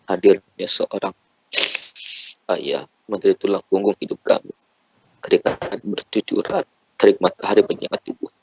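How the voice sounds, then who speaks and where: A man's voice: sits low, fairly even intonation, moderate pace, long pauses, darkish tone, breathy edge, dull audio. A man leading a congregation in an emotional prayer, pleading fervently with repeated invocations. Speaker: prayer leader.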